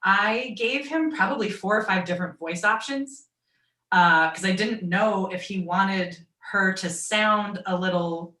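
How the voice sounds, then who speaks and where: A woman with a naturally low voice and a Midwestern accent, telling stories as a guest on a video call. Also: a little slow, pushed and bright, roomy sound, recorded from a distance. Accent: American Midwestern. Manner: chatty storytelling, quoting others in asides. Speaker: guest voice actor and writer. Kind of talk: online fan Q and A.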